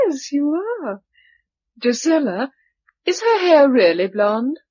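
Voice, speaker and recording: A woman's voice: high voice, big pitch swings, slow, a little mellow, full character voice. A woman playing a doting female survivor who coos over a baby in a radio drama. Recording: dull lo-fi radio recording.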